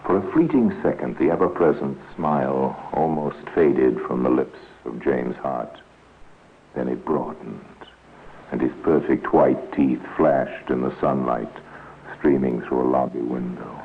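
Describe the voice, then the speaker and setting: A man narrating a 1950s radio crime drama in a measured, solemn, dramatic way, as a grave storyteller. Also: a bass voice. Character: grave male storyteller of a police crime tale. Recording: tinny, noisy 1950s radio recording.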